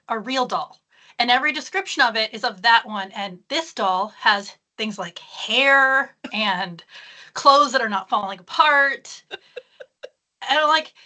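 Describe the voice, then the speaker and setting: Female interview guest, high bright voice, casual delivery with understated, dry wit. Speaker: author being interviewed.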